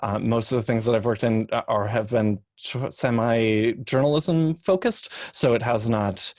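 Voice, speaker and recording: Man, medium pitch, dry recording, casual panelist, informal, explanatory, halting rephrasing. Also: a little mellow, no echo. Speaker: expert panelist. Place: online video call.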